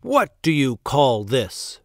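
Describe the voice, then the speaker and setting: A man's voice: a little high, steady tone, drawn-out words, smooth, dry recording, studio quality, voice pushed up. A man voicing an imperious, hot-tempered Duke from a storybook, with an emphatic, commanding manner. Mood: outraged, roaring. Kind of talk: storybook read-aloud character voice.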